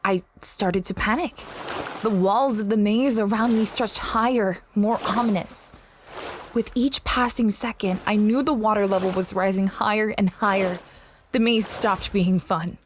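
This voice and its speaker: A woman's voice: mid-range voice, steady tone, unhurried, a little hiss or hum, close to an everyday voice. A woman playing a space explorer who recounts a strange expedition in a conversational, first-person audio drama style. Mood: tense, uneasy. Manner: reflective, conversational storytelling.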